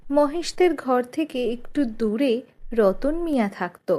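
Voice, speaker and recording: A woman's voice: high-pitched, lively intonation, unhurried, mellow. A woman reading scripted narration for a children's cartoon story.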